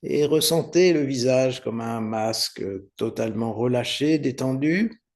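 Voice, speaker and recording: A man teaching guided meditation, giving unhurried, soothing step-by-step instructions with pauses. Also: medium pitch, darkish tone. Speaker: yoga nidra meditation teacher.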